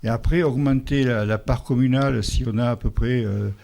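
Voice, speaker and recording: A man, the mayor of a village, presenting a project at a public meeting and answering residents' questions in a halting way, often restarting his sentences. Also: mid-range voice, creaky, warm tone. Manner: halting, restarts, rounding figures loosely.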